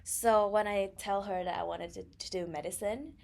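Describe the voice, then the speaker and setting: A woman's voice: medium pitch, steady tone, moderate pace, vocal fry. A young woman from Thailand, co-hosting a casual podcast and telling her story with many fillers and self-corrections. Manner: frequent fillers and self-corrections, laughs easily. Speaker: podcast co-host.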